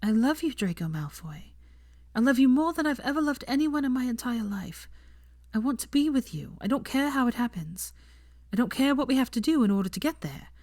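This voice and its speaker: A woman's voice: sits high, lively intonation, brisk, darkish tone, no room sound, clean studio sound, pitched above the performer's own voice. A woman reading fan fiction, voicing a passionate, teasing young woman in a raised, emphatic voice. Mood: heartfelt. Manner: emphatic, heartfelt pleading.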